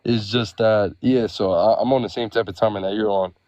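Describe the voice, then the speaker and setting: A man's voice: on the low side, fairly even intonation, brisk, a little mellow, no echo. A man from the Bronx, talking in a hesitant, agreeable way with many fillers in a casual podcast discussion. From the Bronx, New York. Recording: dull, dry lo-fi sound.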